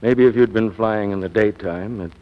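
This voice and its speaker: A man playing a gentle, steady middle-aged father in a measured 1940s radio drama style. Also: fairly low pitch, natural voice. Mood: gentle, relenting. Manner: measured, forgiving. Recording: muffled, hissy old radio broadcast.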